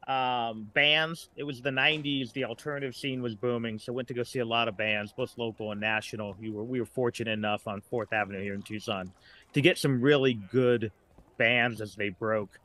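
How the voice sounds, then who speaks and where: Man, late forties, American accent, bright forceful tone, mid-range pitch, chatty, rambling, casual podcast guest. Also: fairly even intonation, brisk, a little hiss or hum. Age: late 40s. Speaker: guest, writer.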